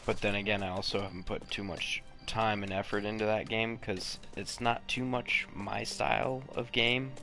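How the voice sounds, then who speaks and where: A man's voice: fairly low pitch, steady tone, normal speed, few pauses, pushed and bright. A young man streaming a video game from home, chatty and casual, swearing, laughing and humming to himself. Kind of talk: casual live gameplay chat. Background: game music.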